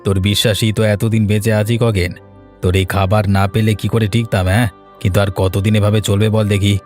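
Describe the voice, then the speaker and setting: A man's voice: lowish voice, flat delivery, fast, faint music behind, lightly acted. A man playing a wary, grave former bandit in hiding, in a cartoon fairy tale. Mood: weary, resolute. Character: wary male fugitive ex-bandit hiding out, called uncle.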